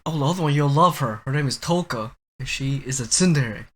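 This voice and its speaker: A man's voice: mid-range voice, a little fast. A man co-hosting a podcast, chatting casually in a loose, slangy way. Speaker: podcast co-host.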